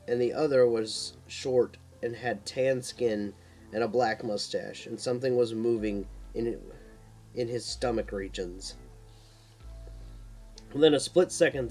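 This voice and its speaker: A man narrates an action horror story in an amateur audiobook style. His voice is mid-pitched, with occasional stumbles and repeats, and faint music plays underneath. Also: a little mellow. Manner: stumbles and repeats words while reading.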